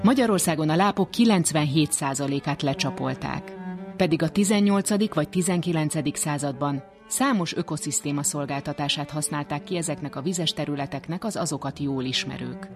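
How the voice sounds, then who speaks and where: A radio reporter narrates a scripted documentary at a middling pitch. Faint music plays behind the measured, written-out wording.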